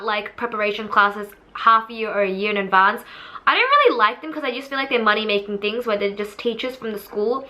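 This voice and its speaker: A young woman talks quickly, her voice bright and forceful. She chats candidly and casually, using lots of fillers as she tells her story. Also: mid-range voice, low-quality recording. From Australia. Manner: chatty, filler-heavy, candid. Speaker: vlogger telling her audition story.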